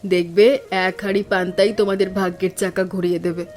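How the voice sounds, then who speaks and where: A woman's voice: fairly low pitch, flat delivery, quick pace, darkish tone, soft background music, close to an everyday voice. A woman as a reassuring village neighbour. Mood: encouraging. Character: supportive, generous younger village neighbour woman. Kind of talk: animated cartoon story acting.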